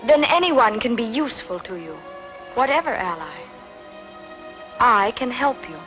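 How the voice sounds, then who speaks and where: A woman's voice: fairly high pitch, very animated, unhurried, a bit bright, faint music behind. A woman dubbing a proud, calculating ancient queen in a formal, imperious manner for a film drama. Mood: coolly commanding.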